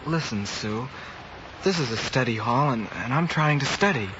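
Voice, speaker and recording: A young man acting a studious, cocky high school boy who hides his self-doubt, in 1950s radio drama style. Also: expressive, moderate pace, lightly acted. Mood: curt, dismissive. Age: young adult. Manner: brash confidence hiding self-doubt. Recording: muffled, very noisy old radio recording.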